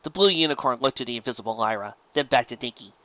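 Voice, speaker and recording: A man reading a story aloud as narrator, in an expressive scripted style. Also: mid-range voice, quick pace, no room sound, dull audio. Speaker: narrator, fan fiction reader.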